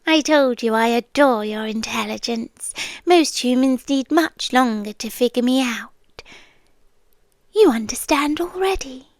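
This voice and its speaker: A British woman voicing a sweetly menacing, possessive sea-creature girlfriend in a soft, breathy, intimate ASMR roleplay. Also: on the high side, animated, no echo. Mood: veiled menace. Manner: soft, breathy half-whisper, drawn-out pauses. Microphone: close ASMR microphone.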